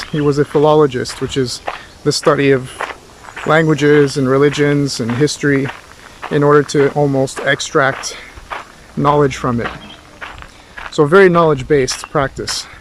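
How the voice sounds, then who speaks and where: Male tutor, fairly high voice, informal lecturing, rambling and reflective, some background noise. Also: low-quality recording. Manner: rambling, thoughtful, with rhetorical questions. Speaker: philosophy tutor.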